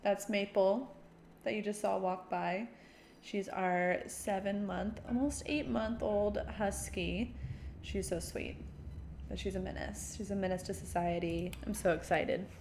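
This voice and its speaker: Casting: female gaming streamer, mid-pitched, slow casual chat, joking, over clear game music. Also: fairly even intonation, a little mellow, slight background noise.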